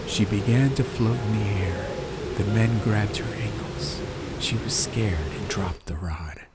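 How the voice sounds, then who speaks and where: A man narrating a scripted audiobook in a measured, dramatic storytelling manner. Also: fairly low pitch, very noisy. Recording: ambient sound effects behind. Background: faint fantasy ambience.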